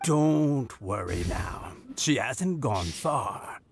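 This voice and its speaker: A man voicing a gentle, wistful old man in a fantasy game. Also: mid-range voice, animated, very slow, vocal fry, over loud music, full character voice.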